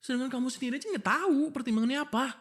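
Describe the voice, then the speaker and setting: A man's voice: very high voice, rapid-fire, fairly bright tone, full character voice. A man acting an exasperated, protective young fiancé in a boyfriend ASMR roleplay, pleading and scolding informally. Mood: annoyed, suspicious. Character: exasperated, protective young fiancé arguing before his wedding. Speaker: voice actor. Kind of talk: boyfriend ASMR roleplay, one-sided dialogue.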